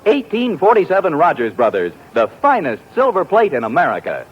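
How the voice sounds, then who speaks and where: A man announcing on a 1940s radio show and reading its commercial in a smooth, salesy, enthusiastic way. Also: fairly high pitch, wildly swooping pitch, a little fast, soft background music. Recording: dull lo-fi 1940s radio broadcast.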